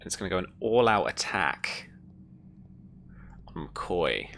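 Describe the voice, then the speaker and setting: Woman, game master, low pitch, bright forceful tone, informal tabletop play. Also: very animated, slow pace, gravelly, slight background noise. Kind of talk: running a tabletop roleplaying game.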